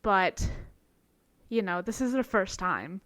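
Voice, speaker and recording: Young east coast woman on a podcast, smooth clear voice, super dry mic, rambly with lots of likes. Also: medium pitch, expressive, slow, a bit bright, no echo. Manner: rambling, filler-heavy. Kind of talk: casual chat.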